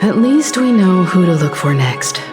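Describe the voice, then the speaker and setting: Calm game investigator, low voice, measured, game music behind. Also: female voice. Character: calm, analytical investigator companion. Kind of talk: game voice acting.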